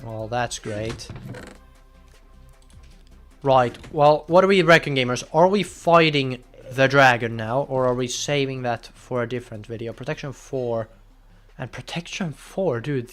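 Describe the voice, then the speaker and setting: Excitable young man streaming. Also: medium pitch. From Finland. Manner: chatty, bursts into loud excited outbursts. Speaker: game streamer. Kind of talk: casual live gameplay commentary.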